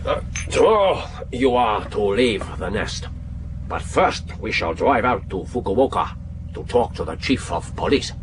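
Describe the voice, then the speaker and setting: An actor playing a genial, courteous middle-aged Japanese spymaster in a radio drama, with a Japanese accent and formal, effusive manner. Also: male voice, on the low side, big pitch swings, measured pace. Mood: measured. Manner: formal, hesitant interjections, effusive. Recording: noisy radio drama with background ambience.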